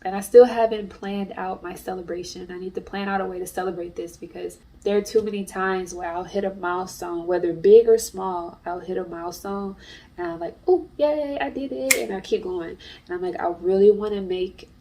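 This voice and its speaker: A woman's voice: medium pitch, normal speed, dark tone, distant mic. A congested woman, an artist and small business owner, talking in a chatty, earnest way to camera in a casual vlog. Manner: chatty and earnest, many fillers. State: congested from allergies.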